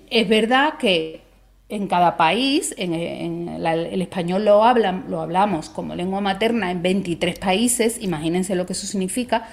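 Woman calmly explaining, steady measured voice. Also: mid-range voice. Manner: measured, explanatory, with tag questions. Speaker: language expert guest. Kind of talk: conversational explanation on a talk show.

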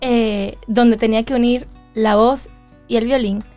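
A woman with a Venezuelan accent answering as an interview guest, telling her story conversationally with reflective pauses. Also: a little high, steady tone, darkish tone, soft background music. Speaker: interview guest, singer and violinist.

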